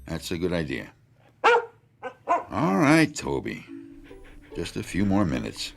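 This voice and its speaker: Radio drama: genial older janitor, very low gravelly voice, folksy and unhurried. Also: male voice, huge pitch swings, music in the background, exaggerated voice. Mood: friendly, folksy. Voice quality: deep, gravelly. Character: genial older male school janitor with a dog.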